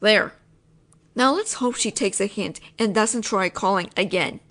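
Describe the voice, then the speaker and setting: A woman's voice: medium pitch, a little slow, a bit bright. A woman acting out a one-sided audio roleplay as a frustrated, possessive roommate talking straight to the listener. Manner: frustrated, insistent, teasing. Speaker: voice actress playing a possessive roommate.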